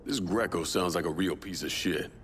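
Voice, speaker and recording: An actor playing a cool, hard-bitten criminal avenger in a video game, speaking tersely and unimpressed. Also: male voice, low-pitched, normal speed, fairly bright tone, a little hiss or hum.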